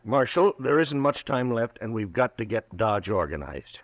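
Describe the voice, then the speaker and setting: A man's voice: mid-range voice, big pitch swings, moderate pace. An actor playing a fussy, flustered townsman in a 1950s radio drama, speaking hesitantly.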